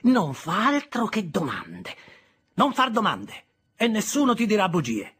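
Man voicing harsh scolding woman, shrill, exasperated, husky, storybook reading. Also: wildly swooping pitch, a little slow, fairly bright tone, heavily acted. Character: harsh scolding older sister, sharp-tongued woman.

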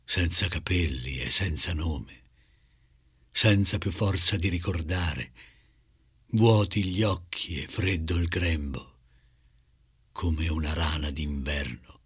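Deep raspy guy reading poetry, really slow, solemn and measured. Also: dry recording, clean studio sound. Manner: grave, measured, deliberate. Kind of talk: solemn dramatic poetry recitation.

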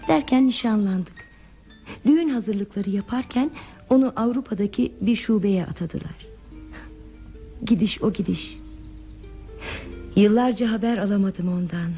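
An actress as a lonely, wistful woman, creaky and mellow. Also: mid-range voice, unhurried, long pauses, close to an everyday voice. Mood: melancholy, confiding. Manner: hesitant, confiding, soft and reflective delivery. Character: lonely, withdrawn woman recounting her sad past, wistful and fragile. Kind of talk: Turkish radio theatre acting. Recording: muffled lo-fi radio drama with music.

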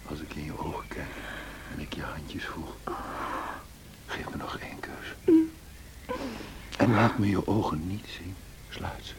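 An actor playing a brooding, vengeful, passionate lover in a Dutch radio drama, intense and theatrical, by turns scornful and pleading. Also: male voice, extremely low pitch, measured pace, long pauses, whispered. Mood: grieving, bitter. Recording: noisy old radio play recording.

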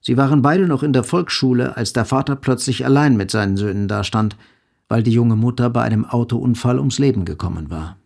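A man giving scripted literary narration with a husky, creaky voice. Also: medium pitch, a little fast, a little mellow.

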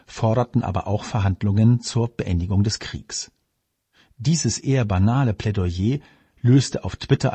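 A man reading a scripted political commentary aloud in a measured, formal manner. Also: fairly low pitch, dark tone, breathy edge, dry recording.

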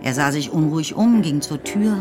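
A woman's voice: low-pitched, expressive, normal speed. A woman narrating a radio drama in a scripted literary style. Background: music.

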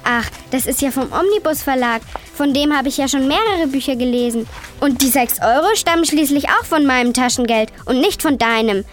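A woman's voice: high voice, brisk, faint music behind, natural voice. A woman playing a sassy, eager young girl on a scripted children's radio show. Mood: bossy. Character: bossy, chatty young girl recommending books.

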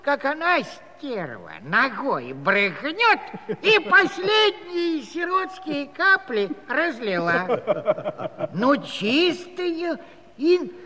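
A man's voice: sing-song, extremely slow, bright, forceful tone, slight background noise, full character voice. An actor playing a comic old Cossack grandfather who stammers and rambles in a folksy way through his storytelling. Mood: exasperated. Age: older. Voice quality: high-pitched, stammering. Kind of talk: comic musical radio-play acting. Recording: reverberant hall-like studio recording.

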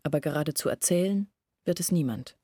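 An actress in a German radio drama playing an earnest, anguished young woman who confides her family's hardship in a deliberate, self-reproachful way. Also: deep voice, mellow, breathy edge, no echo, studio quality. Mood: confiding, troubled.

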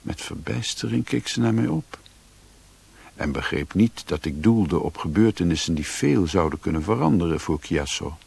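Low-voiced, sombre adventurer-narrator. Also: male voice, animated, normal speed, creaky, darkish tone, slightly rough, exaggerated voice. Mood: tense, reflective. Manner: sombre, literary storytelling. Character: foreign man recounting his grim adventures, sombre. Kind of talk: scripted radio drama narration.

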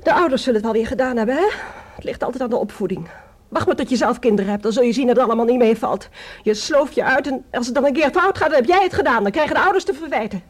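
Indignant, high-voiced, fast-talking mother. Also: animated. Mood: indignant, reproachful. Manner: complaining, reproachful, prim. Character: indignant, self-pitying middle-aged mother of a student. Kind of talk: Dutch radio play acting.

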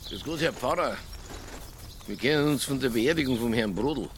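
An actor playing a stubborn, provocative Bavarian police detective in a radio crime drama, earthy and argumentative. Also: male voice, mid-range voice, lively intonation, moderate pace, slight background noise, natural voice. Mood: earnest, probing. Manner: earthy, argumentative, quoting scripture.

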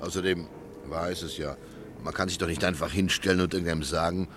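A man's voice: low voice, steady tone, quick pace, noisy background, natural voice. A man playing a stubborn, uneasy snack kiosk keeper in a German radio play, plain-spoken and curt. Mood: dismissive.

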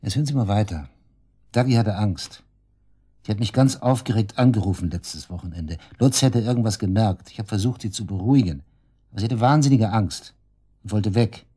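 Casting: cocky shady suspect, dark low husky voice, taunting and coarse, radio play. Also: male voice, fairly quick. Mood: mocking, then confiding, matter-of-fact. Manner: taunting, cynical, coarse colloquial talk. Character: cocky, sneering male murder suspect, shady club worker. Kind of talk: German radio drama acting, interrogation dialogue.

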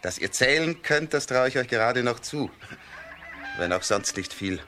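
An actor playing a sly, boastful red-haired busybody who poses as a friend in a children's radio drama, with a wheedling, self-important delivery. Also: male voice, medium pitch, animated, normal speed, sharp tone, a little hiss or hum. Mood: mocking. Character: sly red-haired meddling village man, needling false friend.

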